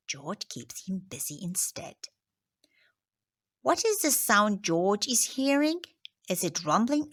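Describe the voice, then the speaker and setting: A woman teacher reading a picture book aloud to children, expressive and dropping into soft whispers on headings and asides. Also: mid-range voice. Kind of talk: children's story read-aloud.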